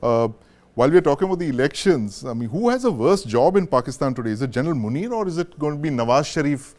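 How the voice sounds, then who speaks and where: A man's voice: a little high, big pitch swings, fast, a little mellow. A man with an Indian accent, presenting and putting questions as the host of a broadcast news discussion show. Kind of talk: broadcast interviewing.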